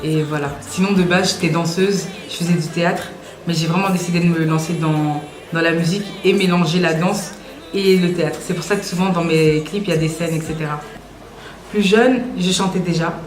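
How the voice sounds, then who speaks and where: A woman's voice: lowish voice, fairly quick. Young woman, casual, echoey room. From Belgium, Brussels. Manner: relaxed, slangy, with frequent hesitations. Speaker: singer interviewed about her music. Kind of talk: casual interview answers.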